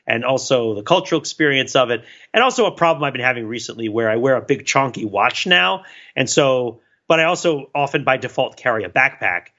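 A man co-hosting a podcast in casual chat, talking in a rambling, animated, wryly self-deprecating way. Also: medium pitch, muffled recording. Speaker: podcast co-host.

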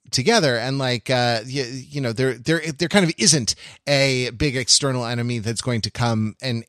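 A man's voice: medium pitch, a bit bright, no room sound, clean studio sound. A man co-hosting a discussion podcast, thinking aloud analytically and often repeating and rephrasing as he searches for words. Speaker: podcast host. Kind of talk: analytical discussion of classic television.

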